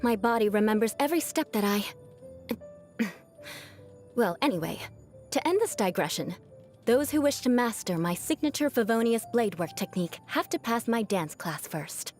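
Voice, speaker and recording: A woman's voice: fairly high pitch, sing-song, normal speed, darkish tone, faint music behind. A woman voicing a proud young aristocratic knight in a video game, with a haughty, formal, teasing manner and a vengeful streak that hides a caring side. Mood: proud, reflective. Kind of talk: scripted video game character voice lines.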